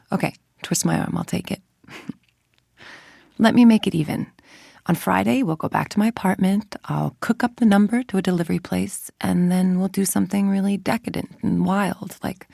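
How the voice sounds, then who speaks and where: A scheming female scientist for a video game: low, heavily creaky voice, formal, controlled, dryly superior. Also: darkish tone, no room sound, clean studio sound, exaggerated voice. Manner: articulate, formal, dryly condescending. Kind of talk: scripted game monologue, dictated logs and letters.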